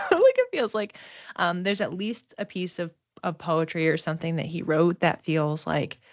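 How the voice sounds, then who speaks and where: Casting: woman, low register, psychic medium doing an off-the-cuff reading, hesitant delivery, slightly dull roomy recording. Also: animated. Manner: tentative, halting, searching for words. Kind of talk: intuitive reading, spoken off the cuff to a live chat.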